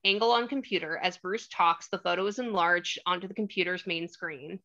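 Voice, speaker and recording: A woman's voice: lowish voice, normal speed, pushed and bright. A woman reading stage directions as the narrator of a screenplay table read. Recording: slightly dull lo-fi audio.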